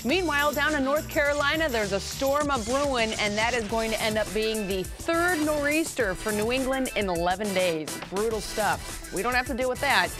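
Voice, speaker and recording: A woman's voice: a little high, lively intonation, normal speed, few pauses, over music. A woman with an American accent presenting a TV weather forecast in an emphatic, conversational way.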